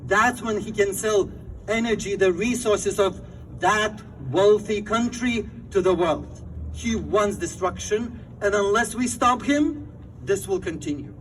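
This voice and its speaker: A man's voice: high-pitched, unhurried, fairly bright tone, distant mic, a little hiss or hum, poor audio. A man from Lithuania with a Lithuanian accent, giving an impassioned speech at an outdoor rally with fervent, emphatic phrasing. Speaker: rally speaker.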